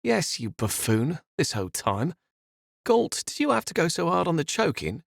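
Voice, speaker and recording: A man's voice: fairly high pitch, sing-song, normal speed, vocal fry, no room sound, studio quality, voice pushed up. A man voicing a scheming young woman who talks down to others in a cold, condescending way. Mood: mocking. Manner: condescending, sing-song.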